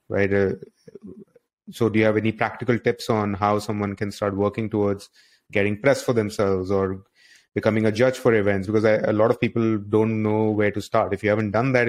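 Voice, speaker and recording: A man hosting an interview, asking long, roundabout questions in a conversational way and rephrasing as he goes. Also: lowish voice. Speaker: interviewer, host.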